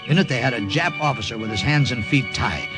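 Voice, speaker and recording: An American actor playing a wisecracking, excitable wartime airman who narrates his mission in an old radio drama. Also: mid-range voice, a little fast, close to an everyday voice. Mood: tense narration. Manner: jokey, excitable. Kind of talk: 1940s-50s radio drama acting, part narration. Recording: old radio recording with loud music.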